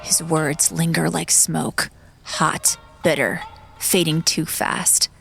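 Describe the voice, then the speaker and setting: Low, slow, creaky woman narrator. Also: little pitch movement, fairly bright tone, soft background music. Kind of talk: scripted dramatic story narration.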